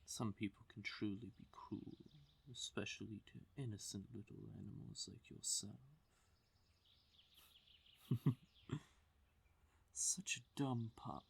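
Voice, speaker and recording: A haughty forest fae king, acted by a man, speaks in a low, breathy voice. He draws his words out very slowly, teasing and condescending, often sinking into a menacing whisper straight to the listener, as in a fantasy audio roleplay. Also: darkish tone, full character voice. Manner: condescending, slow purring menace, theatrical.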